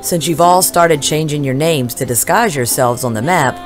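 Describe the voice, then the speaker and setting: A woman's voice: deep voice, normal speed, soft background music, natural voice. An actress playing a wry, weary woman leader in an audio drama, with a rambling manner. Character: wry, weary female overseer, a community leader.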